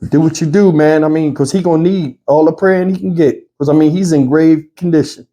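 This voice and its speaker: Male online commentator, high but soft-edged voice, speaking off the cuff with pleading exasperation. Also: steady tone, fairly quick. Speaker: YouTube news commentator. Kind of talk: off-the-cuff opinion commentary to viewers.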